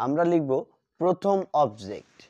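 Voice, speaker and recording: A man teaching a grammar lesson, repeating key words slowly as he writes them out. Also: fairly high pitch, big pitch swings, darkish tone. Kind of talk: classroom lesson at a board. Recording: dull lo-fi sound.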